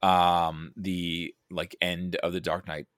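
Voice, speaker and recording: A man with a low-pitched voice talks casually about film on a podcast, recorded close and dry. He works through his thoughts aloud, often halting and rephrasing as he goes. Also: little pitch movement, fairly bright tone, no room sound. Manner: halting, self-correcting, thinking aloud. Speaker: podcast guest or co-host discussing a film.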